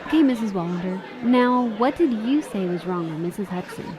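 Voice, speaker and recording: An actress playing a plain-spoken, caring young waitress in a radio drama. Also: female voice, mid-range voice, lively intonation, brisk, crowd noise, natural voice. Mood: concerned, curious.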